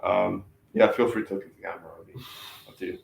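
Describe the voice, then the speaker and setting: A man's voice: deep voice, fairly even intonation, fast talker, vocal fry. A man interviewing a colleague in an easygoing, casual way, often saying "cool" between questions. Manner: easygoing, says "cool" a lot. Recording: echoey room, off-mic, faint noise.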